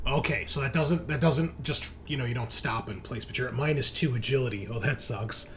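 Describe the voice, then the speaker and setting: A man's voice: medium pitch, a little fast, fairly bright tone. A man running a tabletop game as game master, narrating in a loose, conversational and casually profane way. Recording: noticeable background noise.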